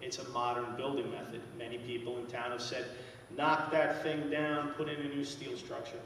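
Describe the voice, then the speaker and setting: A man, giving a loud, plain-spoken talk as an engineer at a town hall podium. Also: mid-range voice, flat delivery, normal speed, slight background noise. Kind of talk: public presentation at a podium. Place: large school auditorium. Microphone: podium microphone. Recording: echoey hall, heard from far off.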